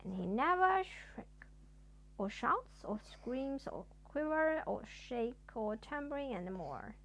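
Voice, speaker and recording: A woman storyteller reading a children's bedtime story aloud in an expressive way. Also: on the high side, takes it slowly.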